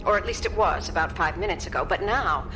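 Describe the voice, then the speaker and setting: An actress playing a precise, focused scientist giving technical reports deliberately in a radio drama. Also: female voice, lowish voice, music in the background, natural voice, rough recording. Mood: tense, precise.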